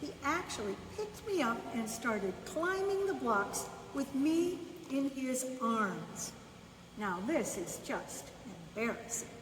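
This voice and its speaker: A woman reads a children's story at a slow pace, in short, separated phrases. Her voice is fairly high and comes from a distance in a reverberant room. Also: big pitch swings. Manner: unhurried, with pauses between short phrases. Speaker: volunteer storyteller reading a children's book. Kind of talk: bedtime story read aloud, first-person narration. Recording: echoey reverberant room, distant mic, faint noise.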